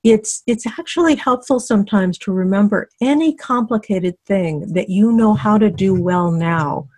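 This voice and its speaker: Woman, middle-aged, husky lowish voice, dry close sound, coaching class on a call. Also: moderate pace, no room sound. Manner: warm encouraging, many fillers and restarts. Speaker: dating coach co-hosting a teleclass. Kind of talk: conversational teaching on a video call. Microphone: video call.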